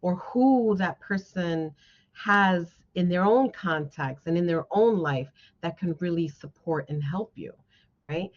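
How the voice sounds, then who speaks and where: A woman's voice: on the low side, measured pace. A woman guest speaker giving an encouraging motivational talk to a class, often checking in with her listeners. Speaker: guest speaker, social worker. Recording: dull, band-limited lo-fi sound.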